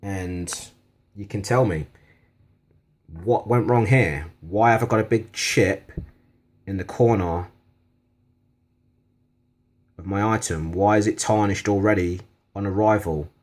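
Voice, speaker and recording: A man's voice: on the low side, takes it slowly, long pauses, a little mellow. A British man giving an informal, matter-of-fact product review to camera, speaking in a plain-spoken, complaining way. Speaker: product reviewer on a video channel.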